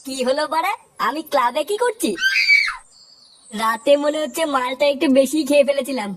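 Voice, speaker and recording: An actor playing a hot-tempered cartoon husband with a very high, processed voice. He blusters and swears indignantly through heavy background noise. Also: moderate pace, shouting, natural voice. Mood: grumpy. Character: hot-tempered, foul-mouthed drunkard husband and club boss. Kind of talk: comic cartoon dubbing. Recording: very noisy track.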